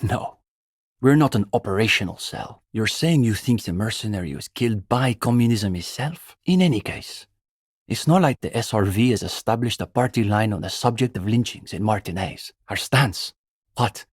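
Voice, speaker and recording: An actor playing a pompous, earnest young communist student in a video game, a bookish zealot who talks in precise, lecturing phrases. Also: male voice, lowish voice, animated, moderate pace, husky, no echo, studio quality. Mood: measured, theorizing. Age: young adult. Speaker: student leader of a revolutionary reading group. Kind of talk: video game character dialogue.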